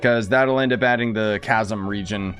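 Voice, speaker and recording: A man's voice: lowish voice, steady tone, normal speed, a bit bright, soft background music. Casual young man streaming a game. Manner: offhand, slangy, chatting to viewers. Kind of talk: casual live gameplay commentary.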